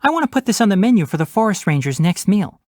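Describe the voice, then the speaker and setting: A young fox-eared forest ranger from a video game, speaks with a highly animated melody. He is precise and bookish, with a wry, matter-of-fact edge. The sound is dry and free of any room. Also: fast talker, no echo. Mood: instructive, composed. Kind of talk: video game character voice lines.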